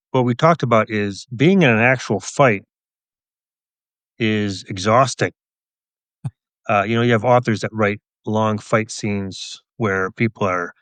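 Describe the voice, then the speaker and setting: Male interview guest, cop-turned-writer. Low pitch, dry close sound, thoughtful and explanatory delivery. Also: a bit bright, no echo. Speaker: police officer and author, interview guest. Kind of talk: thoughtful conversational interview answers.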